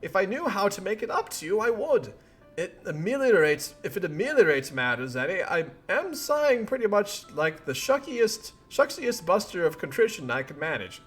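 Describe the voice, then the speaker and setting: A cheerful, oblivious young adventurer acted out by a man rambles in earnest, folksy phrases. The voice is bright and lively, a little husky, over music. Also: high-pitched, normal speed, full character voice. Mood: placating, sheepish. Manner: wordy, folksy old-fashioned slang, earnest.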